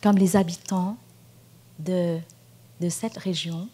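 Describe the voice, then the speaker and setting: A woman with a Vietnamese accent gives a dharma talk, speaking very slowly in a mellow, slightly husky voice. She is gentle and unhurried, pausing often and filling gaps with soft fillers. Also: lowish voice, steady tone. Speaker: Buddhist nun teacher. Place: meditation hall at a retreat.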